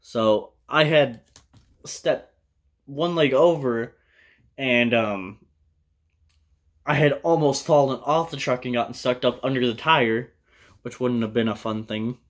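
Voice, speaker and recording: A young American man tells a personal story casually to camera, in a loose, halting way with plenty of swearing. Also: mid-range voice. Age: young adult. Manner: loose, profane, halting delivery. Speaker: vlogger telling a personal story. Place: small indoor room with bare wall.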